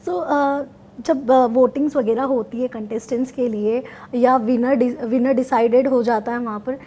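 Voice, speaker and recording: A woman's voice: fairly high pitch, fairly even intonation, moderate pace, warm tone. A woman with an Indian accent asking questions in a casual entertainment interview style.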